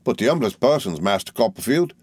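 A man's voice: fairly low pitch, very animated, fairly quick, a little mellow, slightly rough, no echo, clean studio sound, pitched above the performer's own voice. A man reading aloud and voicing a fawning, falsely humble young male clerk with a wheedling, obsequious manner.

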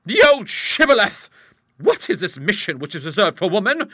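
A man voicing a brisk, outspoken middle-aged English aunt in a storybook, hearty, emphatic and used to commanding. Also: high-pitched, lively intonation, sharp tone, no echo, clean studio sound, voice pushed up. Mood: indignant. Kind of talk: storybook character voice in an audiobook.

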